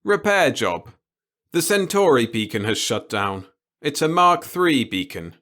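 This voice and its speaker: Give the voice of a tyrannical old male boss from a sci-fi audiobook, acted out by a male narrator. He pitches his speech up and bears down on each phrase. He gloats and lectures with open glee, browbeating whoever has to listen. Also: very animated, measured pace, pushed and bright. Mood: gleeful.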